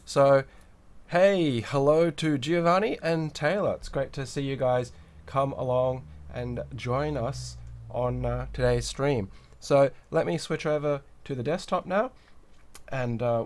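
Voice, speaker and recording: A man hosting a livestream with a cold and sore throat, sounding nasal as he chats casually, greets viewers and reads out the chat while he builds a kit. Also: medium pitch. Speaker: livestream host.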